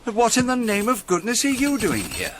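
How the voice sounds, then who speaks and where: Radio drama: gallant British major, kindly and hearty, high male voice, very animated intonation. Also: brisk, slight background noise, exaggerated voice. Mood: surprised, reassuring.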